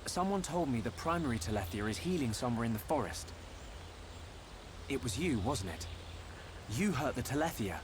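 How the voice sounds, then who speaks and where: An actor playing an earnest, gentle young hero in a video game, sincere and a little hesitant. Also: male voice, mid-range voice, animated, normal speed, pauses often, lightly acted. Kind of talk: dramatic video game acting. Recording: clear background ambience noise.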